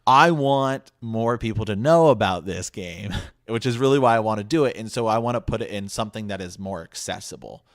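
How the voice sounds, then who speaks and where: A man's voice: fairly low pitch, animated, a little fast, few pauses, no echo, studio quality. A man hosting a podcast, making a casual announcement in an earnest way and often repeating his phrases. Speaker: podcast host.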